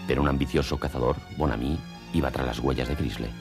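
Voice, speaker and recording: Extremely low pitch, creaky grain, solemn cartoon narrator, scripted storytelling, faint music. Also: male voice, steady tone, fast. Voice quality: very deep, gravelly.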